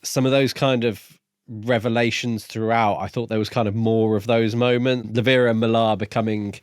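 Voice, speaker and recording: A man co-hosting a podcast, discussing books casually in a measured, reflective way. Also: medium pitch, steady tone, smooth, no room sound, studio quality. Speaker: podcast co-host. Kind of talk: casual book discussion chat.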